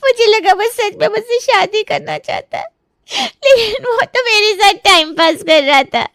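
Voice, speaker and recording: A woman's voice: extremely high pitch, lively intonation, a little slow, a bit bright, no room sound, clean studio sound, full character voice. A woman voicing a naive young woman in her twenties who cries and pleads as she confesses in a family drama. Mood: tearful, remorseful. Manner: pleading, apologetic, wailing. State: crying.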